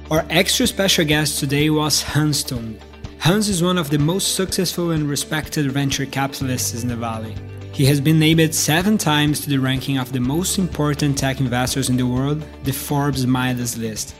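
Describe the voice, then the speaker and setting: Higher-voiced young man, podcast host. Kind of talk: scripted podcast introduction and prepared questions.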